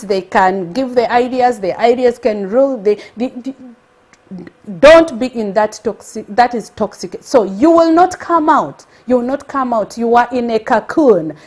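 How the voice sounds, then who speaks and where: A woman's voice: fairly high pitch, a little mellow. A woman from Uganda with a Ugandan accent, hosting a motivational live stream and speaking with energy, exclaiming and repeating her points for emphasis.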